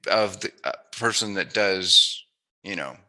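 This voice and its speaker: Playful male interviewer with a very bright voice. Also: low voice, lively intonation, unhurried. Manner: playful, jokey, musing. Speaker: interviewer, host. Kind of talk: casual joking chat interview.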